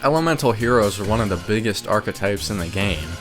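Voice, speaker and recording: A man's voice: fairly low pitch, expressive, fast. A man giving scripted, opinionated ranking commentary in a wry way, as a video creator. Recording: music behind the voice. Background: game music.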